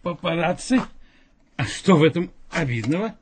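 A man's voice: a little high, lively intonation, moderate pace, background noise, lightly acted. An actor playing a mysterious, playful male stranger in a radio drama, teasing and persuasive in a theatrical way. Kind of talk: Russian radio drama acting.

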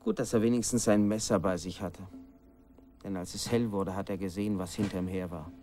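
Man as village storyteller, deep voice, grave, suspenseful, radio play narration, grim folk tale. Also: steady tone, fairly quick, music in the background, lightly acted. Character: male village storyteller recounting a grim legend.

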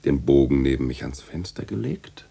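An actor playing a jovial, teasing literary critic in a German radio play, husky and theatrical, speaking in florid, ironic phrases. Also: male voice, very deep voice, animated, moderate pace, dark tone, heavily acted. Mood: musing, ironic.

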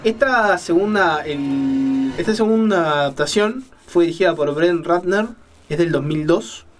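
A man's voice: a little high, a little slow. A man hosting a radio show, giving conversational, explanatory commentary on books and films and often checking in with little question tags. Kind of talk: conversational book and film commentary.

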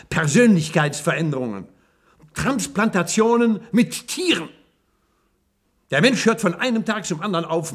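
An actor playing an old captive professor in a German science-fiction radio drama, bitter and sardonic, with an emphatic way of talking. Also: male voice, on the high side, heavily acted. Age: older.

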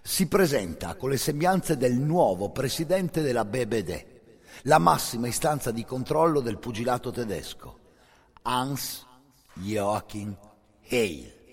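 A man delivers dramatic stage narration, grave and deliberate. His slow phrasing rises and falls widely, and his voice is somewhat husky. It carries a long hall reverb. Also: mid-range voice, expressive. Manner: grave, measured, deliberate pauses. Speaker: actor-narrator. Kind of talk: dramatic theatrical narration, addressed in second person.

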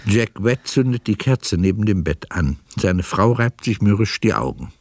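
A man's voice: fairly low pitch, expressive, moderate pace, background noise. A man reading scripted scene narration for a radio drama as its narrator.

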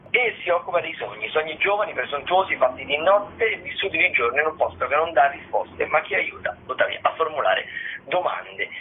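A man hosting radio and presenting event listings in a halting, discursive way. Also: mid-range voice. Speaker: radio host. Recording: tinny phone-like radio sound, faint noise.

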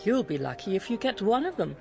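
Radio drama: worldly female singer, wry, medium-pitched and lively. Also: fairly quick, gravelly, soft background music. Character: poised, amused, worldly woman singer.

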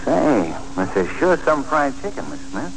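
An actor playing a shrewd, folksy police detective with wry, slangy talk in a 1940s radio drama. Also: male voice, medium pitch, very animated, measured pace. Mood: wry, knowing. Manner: slangy, wry, clipped phrasing. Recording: humming, noisy 1940s radio recording.

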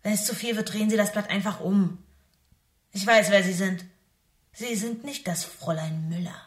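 An actress playing a knowing, confrontational woman with quiet intensity in a radio drama. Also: mid-range voice, moderate pace, natural voice. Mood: knowing, pointed. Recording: echoing room, slightly distant.

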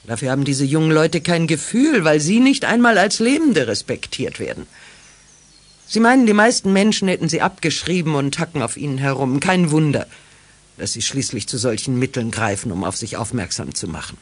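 A woman playing a kind, thoughtful mother with a low, husky voice in a 1980s children's radio drama, speaking earnestly. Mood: earnest, reflective. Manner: earnest, measured, expressive.

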